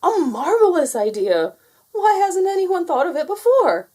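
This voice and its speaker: Woman as storybook father rabbit, excitable, high-pitched, loud, proud and inventive. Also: a little slow, full character voice. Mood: excited, inspired. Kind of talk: children's storybook character voice.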